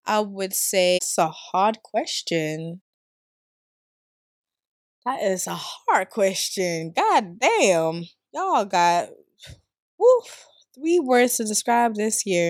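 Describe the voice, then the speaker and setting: A woman in her twenties who grew up in Sweden, hosting her own podcast in a playful, slangy, animated way and laughing easily. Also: on the high side, dry recording, clean studio sound. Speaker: podcast host. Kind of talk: casual solo podcast chat.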